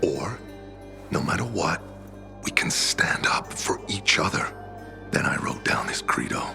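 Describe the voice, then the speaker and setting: An actor playing a weary older veteran leader of survivors in a video game cutscene, grave and reflective, with a rough, gravelly voice. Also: male voice, low-pitched, heavily acted. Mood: solemn, reflective.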